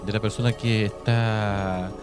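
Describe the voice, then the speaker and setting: A man's voice: lowish voice, steady tone, a little slow. A man with a Chilean accent hosting a conversational radio interview. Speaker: radio host. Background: music.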